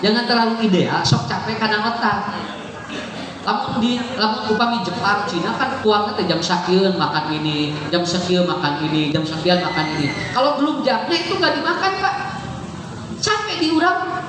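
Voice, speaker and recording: A man's voice: sits high, expressive, moderate pace. Male preacher, Sundanese accent, humorous chatty delivery; distant, reverberant hall recording. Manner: chatty, joking, mixing in local words, laughs often. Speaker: Islamic preacher. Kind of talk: lively humorous sermon to a congregation. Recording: echoey hall, far from the mic, noisy.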